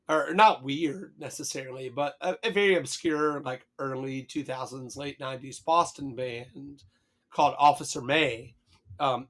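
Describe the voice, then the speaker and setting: Hoarse man rambling on a podcast. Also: medium pitch, fairly bright tone. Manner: rambling, swears casually, many fillers and restarts. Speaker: podcast co-host. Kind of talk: casual chat.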